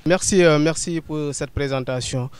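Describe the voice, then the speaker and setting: A male interview host who speaks formally and hesitates often. His voice sits a little high and sounds very smooth and clear. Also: normal speed. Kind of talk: interview hosting.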